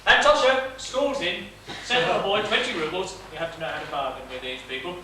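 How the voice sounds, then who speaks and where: Guy playing fussy soft-hearted father in a stage comedy, projecting politely, big echo, noisy recording. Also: high voice, sing-song, a little fast, heavily acted. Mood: exasperated, urging. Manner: courteous, florid, theatrically projected delivery. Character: fussy, haggling, tender-hearted middle-aged Russian father. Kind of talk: live comedic stage play acting. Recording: echoey theatre stage, distant mic, noisy.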